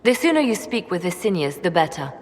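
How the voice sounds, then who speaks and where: An actress playing a brooding, guarded spymaster in a fantasy game, speaking in a measured, cool and deliberate way. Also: female voice, on the low side, big pitch swings. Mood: cool, calculating. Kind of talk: video game dramatic acting. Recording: long hall reverb.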